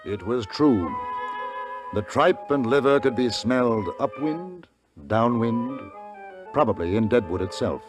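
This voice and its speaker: An actor playing an earnest, upright English gentleman who narrates and leads a 1950s radio western. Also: medium pitch, lively intonation, measured pace, lightly acted, rough recording. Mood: measured narrating. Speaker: narrator and lead. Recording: vintage radio recording with music.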